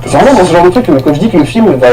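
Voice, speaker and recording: A man's voice: high voice, sing-song, racing, rough recording. A man giving a casual, rambling film review, full of restarts and slang.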